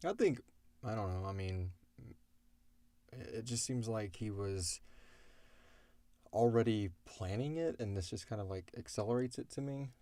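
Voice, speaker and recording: A man co-hosting a casual question-and-answer chat, speaking in an animated way and swearing freely. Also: fairly low pitch, moderate pace, pauses often, no room sound. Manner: animated, swearing, acts out imagined dialogue. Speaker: co-host of an audio drama Q&A show.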